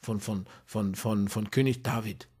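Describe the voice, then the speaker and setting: Man, non-native German speaker, sermon, husky voice, slow delivery. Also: fairly even intonation, darkish tone. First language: not German. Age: adult. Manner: hesitant, repeats words, rises to emphatic high exhortation. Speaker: preacher. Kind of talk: impassioned sermon.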